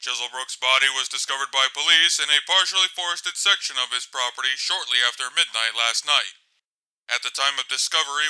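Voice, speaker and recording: Man as crisp, very bright news reporter. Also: a little high, poor audio. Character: composed male television news reporter. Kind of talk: scripted news narration.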